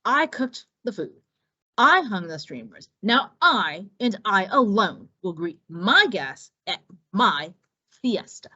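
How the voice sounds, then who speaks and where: A woman's voice: medium pitch, big pitch swings, takes it slowly, pushed and bright, breathy edge, exaggerated voice. A woman voicing a bossy, exasperated female iguana, emphatic and indignant, in a children's storybook. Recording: roomy, slightly dull recording.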